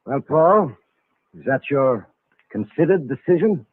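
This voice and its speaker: A man's voice: fairly high pitch, expressive, measured pace, husky, dry recording. A man playing a suave, sinister, coolly mocking figure in a vintage radio mystery. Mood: coolly probing. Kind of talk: 1940s radio mystery acting. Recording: noisy, tinny vintage radio recording.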